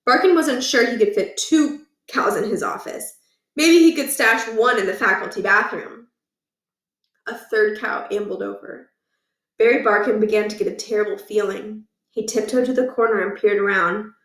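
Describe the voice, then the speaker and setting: A woman reading a children's book aloud in an animated storytelling style. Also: mid-range voice, normal speed, roomy sound, distant mic, low-quality recording.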